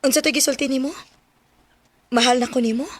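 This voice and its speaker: Single mother, slightly high voice, Cebuano Filipino accent, caring, hesitant, radio soap drama. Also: steady tone, a little fast. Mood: tender, unsure. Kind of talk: Philippine radio drama acting.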